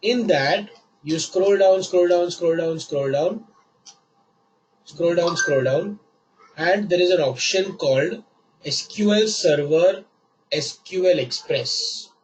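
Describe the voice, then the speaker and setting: A man teaching a live online class while he has a cold, patient and repeating each step slowly. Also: sits high, slight background noise, low-quality recording. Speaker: online course instructor.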